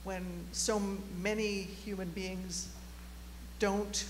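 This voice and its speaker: An audience member giving a spontaneous, reflective comment in a halting way. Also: medium pitch, level tone. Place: large auditorium, audience microphone. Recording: reverberant hall, faint hum and noise.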